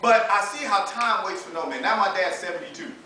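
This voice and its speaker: High-voiced American preacher in an echoey room. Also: male voice, expressive, brisk. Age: 30s. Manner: emphatic, colloquial, uses rhetorical questions and acted-out dialogue. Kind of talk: animated, conversational sermon. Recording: echoey room, distant mic, faint noise.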